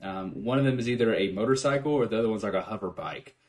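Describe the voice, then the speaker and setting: A man's voice: on the low side, fast talker. A man hosting a casual podcast from home, swearing freely and laughing often. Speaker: podcast host. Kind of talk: casual chat.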